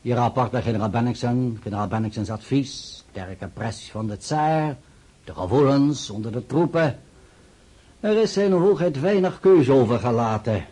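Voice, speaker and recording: A man acting a calm, dutiful staff general in a radio play, formal and matter-of-fact. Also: mid-range voice, normal speed, husky.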